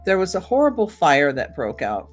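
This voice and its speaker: A woman's voice: on the low side, wildly swooping pitch, normal speed, soft background music. A woman hosting a solo podcast in a casual, chatty way, talking directly to her listeners. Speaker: podcast host. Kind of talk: casual solo podcast commentary on old time radio.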